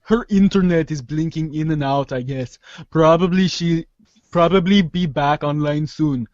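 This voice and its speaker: A man's voice: fairly high pitch, unhurried, darkish tone, dry recording, dull audio, natural voice. A man playing a cocky, slangy teenage boy with a mock Austrian action-hero accent in a comic reader's theater. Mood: smug. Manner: smug, dismissive, slangy.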